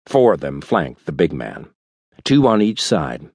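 A man reading a thriller audiobook in a measured, dramatic storytelling manner. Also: rumbling low, very animated, a little mellow, slightly rough, no room sound. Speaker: audiobook narrator. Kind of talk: scripted thriller audiobook narration. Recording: dry studio recording.

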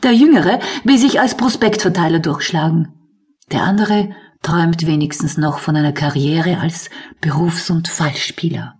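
A woman's voice: low voice, normal speed, a little mellow, close to an everyday voice. A woman reading a scripted story recap as narrator of a radio play.

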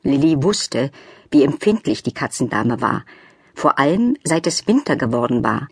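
A woman's voice: rumbling low, very animated, normal speed, slightly rough, no echo, clean studio sound. A woman reading a children's story as a scripted audiobook narration.

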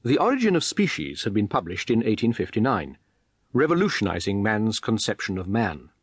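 A man's voice: fairly low pitch, big pitch swings, brisk, husky, no room sound. A man reading a scripted biographical documentary narration in a formal, articulate, explanatory manner.

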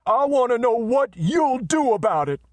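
A man voicing a big, red-faced male messenger who shouts belligerently in a western story. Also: high-pitched, animated, a little slow, dry recording, pitched above the performer's own voice. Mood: shouting.

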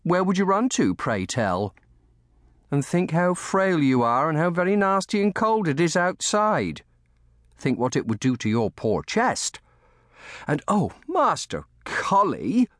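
Devoted young maid, read by a man, storybook style: high, swooping pitch, motherly fussing, humble and anxious. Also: very animated, unhurried, fairly bright tone, no room sound, clean studio sound. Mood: alarmed scolding. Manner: anxious, motherly scolding and soothing. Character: devoted, fussing young maidservant woman of humble cottage family.

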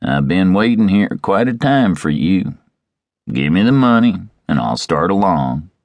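A man voicing a gruff, hot-tempered moneylender in a Western story, demanding and blustering. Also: low voice, big pitch swings, measured pace, no echo. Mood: gloomy. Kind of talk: Western audiobook character.

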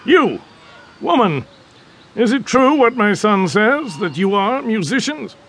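A man's voice: high voice, very animated, slow pace, a bit bright, heavily acted. An actor playing a haughty, bigoted older count and domineering father in an audio drama, with a pompous, mocking manner. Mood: mocking, jovial.

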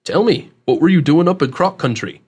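A man's voice: on the high side, lively intonation, brisk, darkish tone, breathy edge, voice pushed up. A man narrating an audiobook, voicing a scruffy, blunt old hermit who talks in a matter-of-fact rustic dialect.

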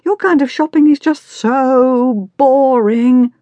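A woman's voice: a little high, very animated, normal speed, mellow, no room sound, lo-fi sound, voice pushed up. A woman voicing a sulky, mocking fourteen-year-old girl in audiobook dialogue, with a whiny, sarcastic manner. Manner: whiny, sarcastic teenage complaining.

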